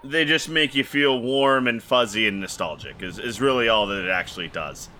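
A man narrates as game master of a tabletop game, bright and crisp in tone. He talks quickly and playfully, slipping into side characters' voices. A faint hiss sits under the recording. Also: mid-range voice.

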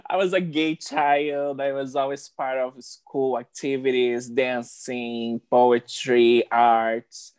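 Bubbly guest with a Brazilian accent. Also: female voice, extremely low pitch, fairly even intonation, a little mellow, clear, resonant tone. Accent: Brazilian Portuguese. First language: Portuguese. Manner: bubbly, halting phrasing with restarts. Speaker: guest, drag performer. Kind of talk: casual interview. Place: New York, remote call.

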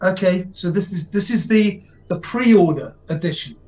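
A man's voice: high-pitched, normal speed, darkish tone, highs cut off. A man who is an actor and musician, guesting on a podcast over a video call, musing and hesitant. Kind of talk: casual interview chat.

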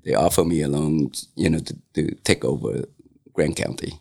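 Gravelly older man, Vietnamese accent. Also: very deep voice, mellow. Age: 60s. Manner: halting, many fillers and restarts. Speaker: interviewee, local business owner. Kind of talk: casual oral-history interview.

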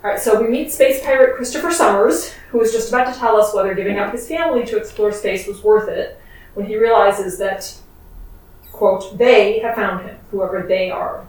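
A soft-spoken woman co-hosting a podcast, recapping a comic in casual chat. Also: medium pitch, normal speed. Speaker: podcast co-host. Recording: very echoey, distant from mic, faint noise.

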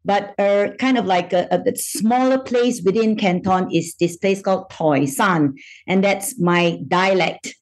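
A middle-aged woman from Malaysia, a psychiatrist answering interview questions in a measured, thoughtful way with frequent pauses. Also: medium pitch. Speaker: psychiatrist guest.

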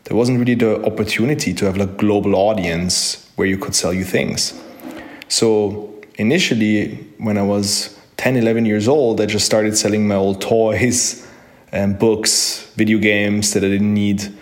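A man's voice: fairly low pitch, fairly even intonation, unhurried. Earnest man in his thirties. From Austria. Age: 30s. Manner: earnest, enthusiastic, with frequent fillers and restarts. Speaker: online entrepreneur. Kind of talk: personal story told to camera.

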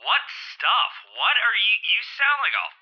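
A man's voice: high voice, wildly swooping pitch, a little slow, heavy background noise, exaggerated voice. A man playing a defensive, sarcastic rival podcaster, arguing profanely over the phone in a scripted comedy. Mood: irritated, sarcastic. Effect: telephone filter. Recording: dull telephone-filtered line.

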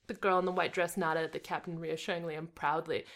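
A woman reading a fan story aloud for laughs, with deadpan asides and frequent laughter. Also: low voice, fast talker. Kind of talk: comedic dramatic reading with banter.

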